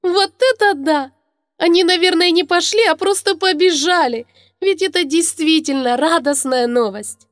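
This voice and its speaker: High-pitched curious little girl puppet. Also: moderate pace, no room sound, clean studio sound. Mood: amazed. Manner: wide-eyed, expressive. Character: cheerful, curious little girl puppet hosting a children's show. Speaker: host. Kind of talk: children's puppet show.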